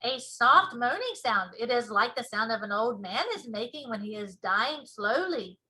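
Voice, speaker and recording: A woman's voice: a little high, lively intonation, normal speed, pushed and bright, poor audio. A woman voicing a big, proud, earnest male giant from a storybook, speaking emphatically in folksy muddled grammar with whimsical invented words.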